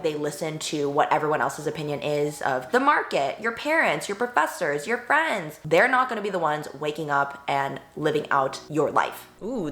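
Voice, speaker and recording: A young woman in her twenties, whose first language was Korean, answering viewer questions in a bubbly, animated vlog. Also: sits low. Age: 20s. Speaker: vlogger. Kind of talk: casual Q&A vlog.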